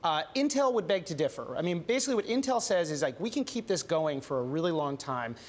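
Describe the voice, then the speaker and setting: A man's voice: a little high, lively intonation, quick pace. A young man reporter answering questions in a TV news studio, chatty and full of restarts and fillers.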